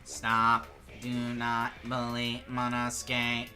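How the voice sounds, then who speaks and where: A man voicing an earnest peacemaking robot bear with slow, halting delivery in an amateur game dub. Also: medium pitch, monotone, sharp tone, faint music behind, heavily acted. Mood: solemn. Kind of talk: amateur fandub of an anime mystery game.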